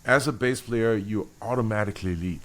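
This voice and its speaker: A middle-aged man from Austria talks through his answers in a low voice. He pauses, restarts and searches for words as he thinks aloud in a relaxed interview. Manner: thoughtful, halting, many restarts and fillers. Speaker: bassist guest. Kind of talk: interview conversation.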